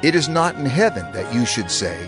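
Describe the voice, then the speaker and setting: A man plays an elderly prophet addressing his people in a scripture drama. His delivery is earnest and emphatic, with lively, wide-swinging intonation over clear background music. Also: medium pitch, a little fast, close to an everyday voice. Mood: earnest, urgent.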